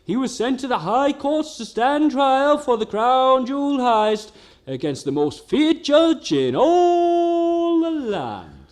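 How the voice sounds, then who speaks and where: Man as a high-pitched theatrical radio narrator. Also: sing-song, takes it slowly, darkish tone, exaggerated voice. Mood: mock-dramatic. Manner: theatrical, storytelling. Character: mock-dramatic radio serial narrator. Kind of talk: scripted comic narration.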